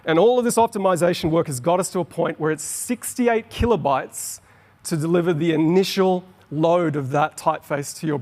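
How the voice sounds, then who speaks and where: A man's voice: fairly high pitch, a little mellow. A middle-aged Australian man giving an enthusiastic, explanatory conference talk on stage. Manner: explanatory, enthusiastic, quickens on asides. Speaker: conference presenter. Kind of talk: keynote presentation. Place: large conference hall on stage. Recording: long hall reverb.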